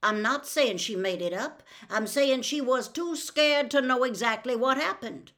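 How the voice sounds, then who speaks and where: A woman's voice: mid-range voice, animated, unhurried, fairly bright tone. A woman reading an audiobook, voicing a stubborn middle-aged male sheriff who talks bluntly in a drawling country way. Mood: pleading.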